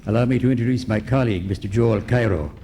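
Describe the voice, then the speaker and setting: An actor playing a pompous, courteous, scheming villain in a radio noir parody, formal in speech. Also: male voice, on the low side, fast talker, mellow, close to an everyday voice. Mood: suave. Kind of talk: comic old-time radio parody acting. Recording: faint noise on live recording.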